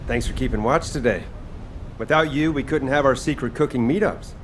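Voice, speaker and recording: An actor playing a laid-back, wry hero chef in a video game, friendly, casual and a little bossy. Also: male voice, medium pitch, brisk, slight background noise, lightly acted.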